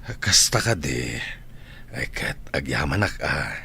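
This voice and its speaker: Actor as a deep, gentle old retired teacher. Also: male voice, big pitch swings, takes it slowly, vocal fry, a little hiss or hum, full character voice. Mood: warm, modest. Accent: Ilocano. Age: older. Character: ailing, gentle retired teacher, gracious and grateful. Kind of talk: Ilocano radio comedy-drama acting.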